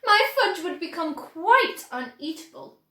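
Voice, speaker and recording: A woman voicing an eccentric, flippant man from a children's storybook, brisk and playful. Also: extremely high pitch, big pitch swings, pushed and bright, echoey room, pitched above the performer's own voice, full character voice.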